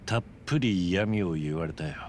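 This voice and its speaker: An actor playing a stoic, gruff middle-aged ex-yakuza man in a video game, terse and understated with a dry kindness. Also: low voice, brisk, slight background noise, close to an everyday voice. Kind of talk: Japanese video game dub acting.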